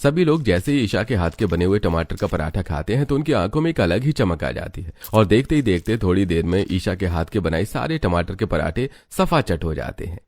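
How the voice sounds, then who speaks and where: A man's voice: low-pitched, very animated, a little fast, hardly any pauses, darkish tone, no echo, clean studio sound. A man giving scripted narration of a family story.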